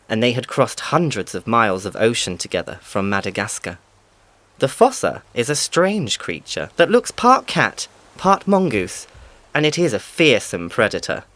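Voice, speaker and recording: A man's voice: mid-range voice, fairly bright tone, dry recording. A man reading scripted storybook narration as the narrator.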